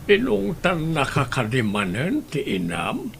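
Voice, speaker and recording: A man playing an elderly, concerned grandfather in an audio drama, gently scolding with an Ilocano accent. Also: fairly high pitch, huge pitch swings, a little slow, vocal fry, slight background noise, full character voice. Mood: concerned, reproachful.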